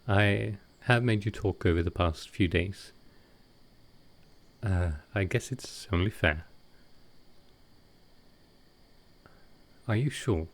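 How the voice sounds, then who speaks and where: A man playing a gentle, wistful ancient vampire in an intimate audio roleplay, soft-spoken and hesitant with halting pauses. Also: on the low side.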